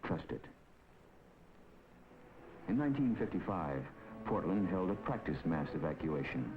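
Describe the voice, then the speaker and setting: A man giving measured, authoritative scripted narration for a 1950s documentary film. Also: sits low, very animated, slight background noise. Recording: dull 1950s film soundtrack with music.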